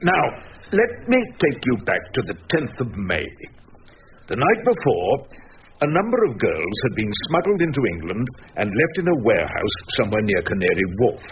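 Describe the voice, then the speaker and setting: An actor playing a dogged, wry old defence barrister in a radio drama, questioning theatrically and insistently. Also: male voice, on the low side, sing-song, normal speed, lo-fi sound, slight background noise. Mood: probing.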